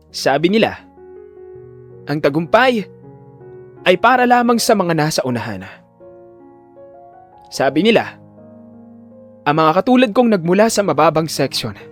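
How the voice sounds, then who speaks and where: Man voicing an earnest teenage boy, animated delivery. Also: on the high side, close to an everyday voice. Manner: formal, emotional speechmaking. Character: earnest, determined teenage male valedictorian.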